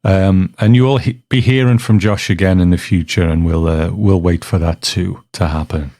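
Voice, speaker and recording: A man with a deep, smooth voice hosting a podcast, casually introducing feedback with gentle, hesitant phrasing. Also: fairly even intonation, normal speed, no echo, studio quality. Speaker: podcast host.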